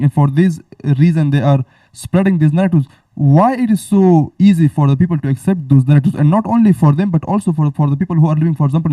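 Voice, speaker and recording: Mellow-voiced man asking hesitantly. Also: fairly high pitch, dry recording, far from the mic, low-quality recording. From Pakistan. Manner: hesitant, searching for words, many "like" and "uh". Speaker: host. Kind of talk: conversational interview.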